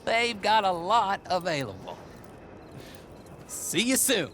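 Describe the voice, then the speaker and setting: Western game role: eccentric horse trader, Southern American, high swooping voice, theatrical and chatty. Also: male voice, big pitch swings, slow pace, a bit bright, a little hiss or hum, exaggerated voice. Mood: brisk, friendly. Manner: folksy, rambling, jovial, theatrical. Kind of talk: video game cutscene acting.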